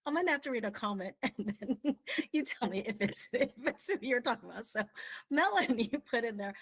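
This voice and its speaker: A woman's voice: on the high side, animated, brisk, no room sound. A woman hosting a radio chat show, upbeat and laughing often as she asks her co-hosts questions. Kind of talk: casual chat.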